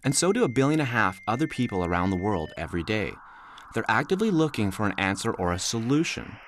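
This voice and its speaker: A man delivering scripted instructional narration as a voice-over, explaining clearly and stressing key words. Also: on the low side, big pitch swings, quick pace, fairly bright tone, slight background noise.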